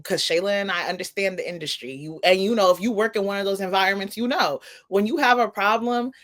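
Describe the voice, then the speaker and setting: A woman's voice: medium pitch, quick pace, fairly bright tone. A woman co-hosting a talk podcast, giving casual, opinionated entertainment commentary in informal, slangy, emphatic phrasing. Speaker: podcast co-host.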